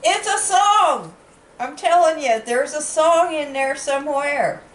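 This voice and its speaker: A stuffed-up woman chatting playfully and animatedly, with excited jumps in her voice. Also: sits high, slow. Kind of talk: casual chat. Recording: echoey room with faint noise.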